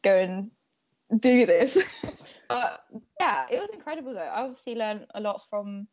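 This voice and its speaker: A woman's voice: mid-range voice, big pitch swings, moderate pace, darkish tone. A young woman whose first language is Croatian, chatting as a podcast guest, laughing often between hesitant, filler-filled phrases. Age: young adult. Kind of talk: casual interview chat. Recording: dull lo-fi podcast audio.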